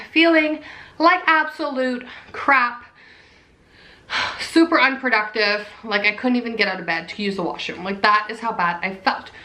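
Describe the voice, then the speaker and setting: Woman, young adult, vlogger, bubbly chatty delivery, raspy nasal sick voice, high pitch. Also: bright, forceful tone. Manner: bubbly, chatty, repeats "super". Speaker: pregnancy vlogger. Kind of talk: casual chat to camera.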